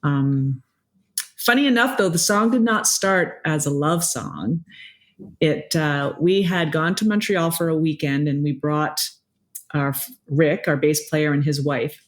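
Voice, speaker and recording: A middle-aged woman from Quebec with a low voice, chatting casually as a musician guest, often stopping and restarting. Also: a little slow. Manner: hesitant, many restarts and fillers. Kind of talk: casual interview chat.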